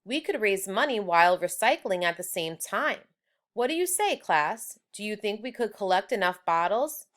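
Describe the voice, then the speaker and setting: A woman's voice: mid-range voice, sing-song, moderate pace, fairly bright tone. A woman voicing an encouraging male third-grade teacher in a children's storybook.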